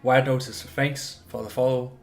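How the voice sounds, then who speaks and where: A man's voice: mid-range voice, level tone, moderate pace. A man from Denmark, a native Danish speaker, chatting politely and casually while streaming a game, often restarting his words. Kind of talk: casual live-stream chat while gaming. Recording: game music playing behind.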